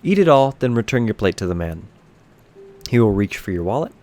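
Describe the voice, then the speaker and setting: A man reading a scripted second-person bedtime story in a measured, solemn storytelling manner. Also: lowish voice, big pitch swings, a little mellow.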